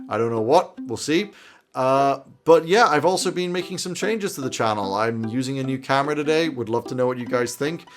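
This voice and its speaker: A man in his thirties giving conversational advice to camera in an emphatic, opinionated way, as a video host. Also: medium pitch. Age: 30s. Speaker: host of tabletop roleplaying video channel. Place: small indoor home office.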